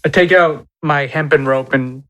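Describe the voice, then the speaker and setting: Higher-voiced man joking through a tabletop game. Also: moderate pace, pushed and bright. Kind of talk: casual joking in-character chat.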